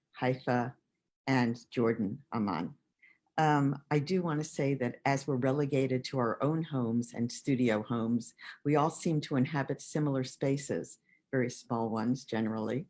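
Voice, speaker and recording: A woman, the curator, moderating an online artists' talk with measured, prepared remarks. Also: a bass voice, a little mellow.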